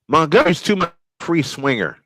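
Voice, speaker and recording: A man's voice: on the high side, sing-song, moderate pace, a bit bright, no echo. A man calling a baseball game live in an old-school play-by-play style, with short clipped calls and chatty asides.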